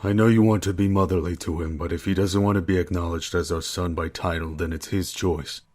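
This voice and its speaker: A man's voice: sits low, steady tone, a little fast, a little mellow. A man playing a protective, skeptical and teasingly secretive husband and father in an audio drama. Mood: concerned.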